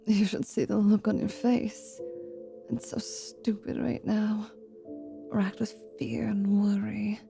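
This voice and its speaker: A woman's voice: mid-range voice, monotone, slow, creaky, music in the background. A woman voicing a proud, spiteful half-vampire paladin with a teasing, haughty manner in a fantasy audio roleplay. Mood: weary, teasing. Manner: sardonic, haughty.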